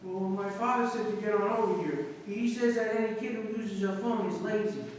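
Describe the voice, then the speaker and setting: High-voiced earnest altar boy, played by a man. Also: steady tone, fairly quick, a little mellow, close to an everyday voice. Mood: polite, eager. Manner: hesitant, respectful, vague. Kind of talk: 1950s radio drama acting. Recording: distant, very echoey hall with noise.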